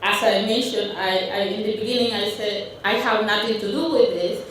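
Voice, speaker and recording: A woman from Honduras with a Honduran Spanish accent giving a conference talk with slides, speaking haltingly with frequent restarts and fillers. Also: medium pitch, steady tone, fairly bright tone, slight background noise. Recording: roomy hall, speaker far from mic.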